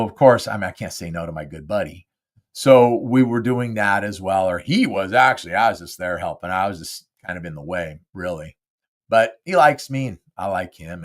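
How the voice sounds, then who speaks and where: A stuffed-up, nasal man talks into his own podcast mic, rambling through the week's news in a loose, chatty way. His voice sits fairly low. He keeps circling back with fillers and restarts as he warms up to the show. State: congested with a cold, nasal. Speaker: podcast host. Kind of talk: casual solo monologue. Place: home studio.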